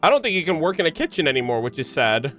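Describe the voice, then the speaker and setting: A man's voice: fairly high pitch, very animated, racing, a bit bright, faint music behind. A man giving casual, slangy live reactions while playing a game, muttering asides on a close mic. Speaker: game streamer.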